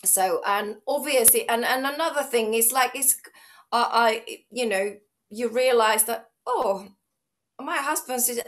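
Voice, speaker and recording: A woman's voice: on the high side, unhurried, fairly bright tone, slight background noise. A middle-aged woman from Finland, a fitness trainer speaking as an interview guest, outgoing and chatty with frequent restarts. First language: Finnish. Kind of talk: casual interview chat.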